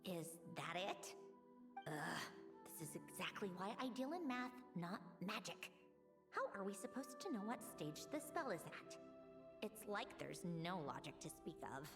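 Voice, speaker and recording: Woman playing a brisk, lecturing young female scholar, very animated pitch, game audio over music. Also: lightly acted. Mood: puzzled. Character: young female scholar-artificer, logical, self-assured. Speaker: game character. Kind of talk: video game voice acting.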